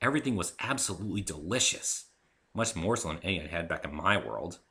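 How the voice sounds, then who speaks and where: Expressive male narrator, very bright voice. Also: low voice, a little fast. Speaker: narrator and author. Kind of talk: dramatic story reading in first person.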